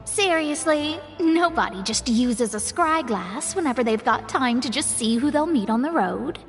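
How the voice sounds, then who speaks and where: A woman's voice: sits high, animated, normal speed, faint music behind. A woman playing a proud, chatty young astrologer in a video game, self-assured and explanatory. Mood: proud, explaining.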